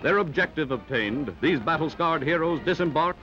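A man's voice: a little high, expressive, normal speed, pushed and bright, faint music behind. Dramatic American 1940s newsreel man, dull old soundtrack. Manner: dramatic, emphatic delivery. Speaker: newsreel narrator. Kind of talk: 1940s newsreel narration, scripted.

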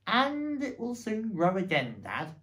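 Small timid fox cub voiced by a reader, storybook, high and swooping, innocent. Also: huge pitch swings, measured pace, a bit bright. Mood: hopeful. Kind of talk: animated storybook read-aloud.

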